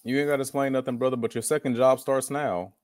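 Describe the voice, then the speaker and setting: A man's voice: medium pitch, steady tone, fast talker, darkish tone, no echo. A man co-hosting a podcast, talking in a laid-back, joking way with many fillers. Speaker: podcast co-host. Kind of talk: casual chat.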